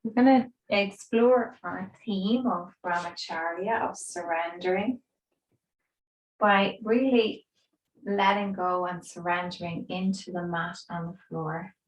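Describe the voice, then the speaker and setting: A woman yoga instructor leading a guided relaxation in a soothing, gentle, unhurried way with pauses. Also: fairly low pitch, steady tone. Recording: roomy echo, distant microphone.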